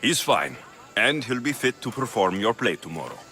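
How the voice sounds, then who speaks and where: An actor playing a young Greek mercenary hero in a video game, terse, serious and determined. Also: male voice, mid-range voice, very animated, moderate pace, bright, forceful tone. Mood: businesslike. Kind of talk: video game dialogue acting.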